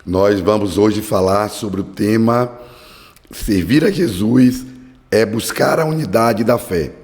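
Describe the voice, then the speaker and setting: Hoarse low-voiced preacher. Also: male voice, takes it slowly, darkish tone. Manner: earnest, fervent preaching cadence. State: hoarse from a cold. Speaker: Bible study podcast host. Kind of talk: devotional teaching with prayer. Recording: long hall reverb.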